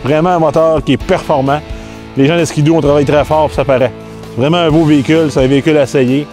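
A man's voice: on the high side, fairly even intonation, quick pace, a little mellow, faint music behind. A middle-aged man with a Québécois French accent hosting a snowmobile review, chatty and enthusiastic, with small restarts. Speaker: snowmobile test host. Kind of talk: casual review and interview.

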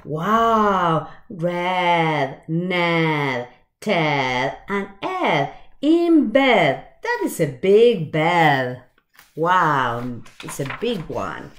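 Very slow, animated woman reading to children. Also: on the low side. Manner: expressive, with asides and questions to the listeners. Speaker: children's storytime reader. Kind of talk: reading a picture book aloud to young children. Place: small indoor room with shelves.

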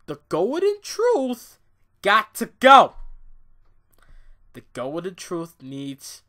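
Male wrestling-show reviewer, off-camera, bright edgy voice, foul-mouthed and ranting. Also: high-pitched, wildly swooping pitch, very slow. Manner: blunt, swears a lot, ranting and exasperated. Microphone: off-camera voice-only recording.

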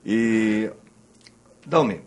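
A man's voice: on the low side, drawn-out words. A man in his fifties with a Brazilian Portuguese accent, hosting a cooking show in a studio kitchen, chatting in a joking, rambling way while he cooks. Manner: joking, rambling, self-interrupting; cooking at the counter while talking. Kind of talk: casual cooking-show chat. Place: TV studio kitchen set, indoors.

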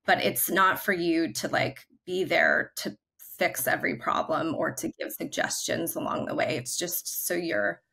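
A woman in her forties speaks on a video call from her living room, her voice bright and forward. She talks in an upbeat, chatty way, full of loose fillers as she explains her ideas. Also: fairly low pitch, fairly even intonation, unhurried, gravelly. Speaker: guest, creative brand leader. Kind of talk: casual video-call interview. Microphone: video call, laptop camera.

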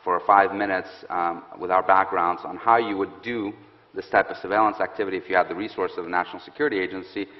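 A man in his thirties, a security expert on a stage panel, explaining in a quick, assured way. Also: sits low, fairly even intonation. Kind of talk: panel interview answer. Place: stage set with audience, indoors. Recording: muffled hall with long reverb.

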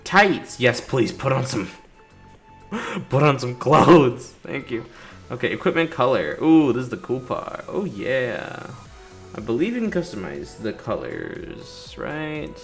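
Male let's-player, casual and chatty, very expressive pitch, talks playfully to characters, light game music underneath. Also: slow pace, vocal fry. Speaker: gaming YouTuber doing a playthrough. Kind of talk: casual gameplay commentary. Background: video game music.

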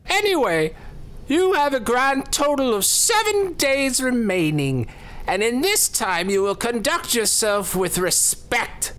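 A man's voice: high-pitched, fairly bright tone, heavily acted. A man playing a smug, menacing death row officer in an audio drama, with a mocking, folksy, theatrical manner. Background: music.

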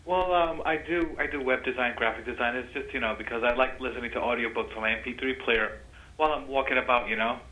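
Bright-voiced New man calling in. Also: mid-range voice, fast talker, low-quality recording. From Flushing, Queens, New York. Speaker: phone caller. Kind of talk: casual chat.

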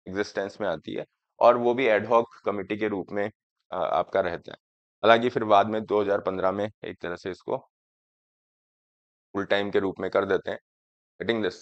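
A man in his thirties teaching an exam prep class, with a hoarse throat from a cold, slowly explaining each point and checking that students follow. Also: fairly low pitch, no room sound. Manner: explaining slowly, often asking students if it is clear. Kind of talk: lecture on current affairs, Hindi mixed with English. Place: studio classroom, in front of a screen. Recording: dry, slightly dull sound.

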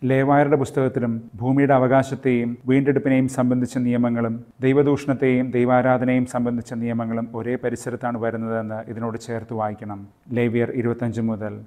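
A man, a friar and podcast host, giving a scripted Bible reflection in measured, literary phrasing. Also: mid-range voice, flat delivery, mellow.

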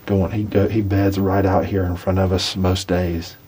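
A man's voice: sits low, little pitch movement, normal speed, dark tone, a little hiss or hum. A young man hosting a hunting show, talking his plan through in a plainspoken, easygoing way from inside a small blind. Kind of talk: casual on-camera hunting talk.

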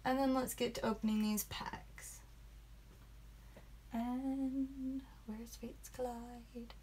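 Woman, high pitch, calming voice, playful live-stream host, faint background hiss. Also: takes it slowly. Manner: playful, says sound effects aloud. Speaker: trading card stream host. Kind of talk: live pack-opening stream chat. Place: indoor room with whiteboard.

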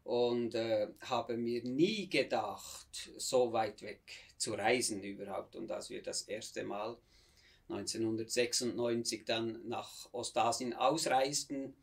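Swiss German man, 50s, gravelly missionary guest; measured, reflective storyteller. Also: medium pitch, fairly even intonation. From Switzerland, near Bern. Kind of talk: conversational interview.

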